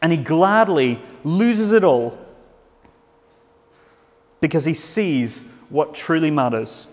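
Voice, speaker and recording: A man's voice: on the high side, animated, takes it slowly, darkish tone, in a large hall. A man preaching a sermon in a church, earnest, with frequent restarts and fillers.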